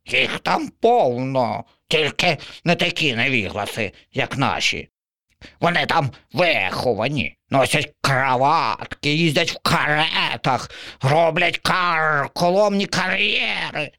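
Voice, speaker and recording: A man's voice: a little high, sing-song, sharp tone, no room sound, studio quality, voice pushed up, exaggerated voice. A man doing a dramatic, dreamy female crow. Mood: rapturous. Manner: drawn-out, grimacing, huffy.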